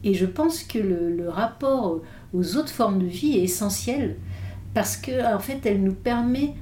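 A woman's voice: mid-range voice, animated, measured pace, dark tone, slight background noise. A woman whose first language is English, a philosopher speaking as a guest in an interview, thoughtful and full of hesitations and restarts. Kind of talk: reflective interview talk. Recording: roomy echo.

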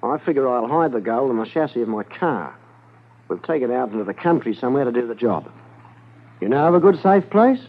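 Shrewd gold smuggler, 1950s radio crime serial. Guarded, deliberate, widely varied intonation, thin vintage broadcast sound. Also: male voice, medium pitch, expressive. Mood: confident, scheming. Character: shrewd, smooth-talking male gold smuggler. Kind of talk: 1950s radio drama acting. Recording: narrow-band vintage 1950s radio recording.

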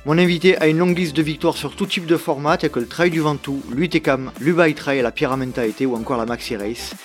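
A man's voice: fairly high pitch, fast talker, faint music behind. A man hosting an interview podcast, speaking in a presenter's introductory and questioning style.

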